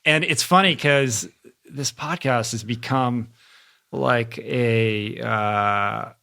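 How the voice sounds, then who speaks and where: A man's voice: medium pitch, drawn-out words, creaky, sharp tone. An American man hosting a podcast, talking in a chummy, informal, slangy way in a casual interview. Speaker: podcast host.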